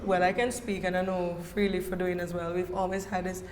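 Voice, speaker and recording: A woman's voice: fairly low pitch, little pitch movement, a little fast, darkish tone, a little hiss or hum. Chatty Jamaican woman in an echoey hall. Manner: chatty storyteller, lots of fillers, slips into patois. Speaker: guest, brand co-founder. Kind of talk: casual podcast interview. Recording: echoey hall with long reverb.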